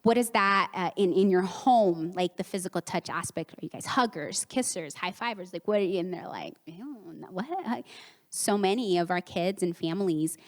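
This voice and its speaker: A woman's voice: lowish voice, normal speed. A woman in her thirties, a therapist whose first language is Spanish, giving a conversational conference talk with acted-out quotes. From United States, Mexican home. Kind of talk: conference presentation. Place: conference hall. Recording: reverberant conference hall.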